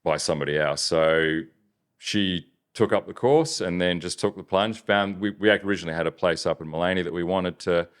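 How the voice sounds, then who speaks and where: A man from New Zealand with a softened Kiwi accent, chatting casually as a business owner in an interview, rambling with many restarts. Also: low-pitched, brisk, clean tone. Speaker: business owner, podcast guest.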